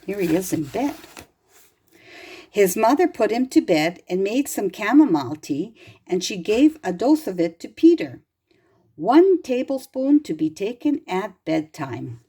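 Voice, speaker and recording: A woman reading a children's storybook aloud in an expressive, gentle and deliberate manner, as if to young listeners. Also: mid-range voice, breathy edge. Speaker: storybook reader.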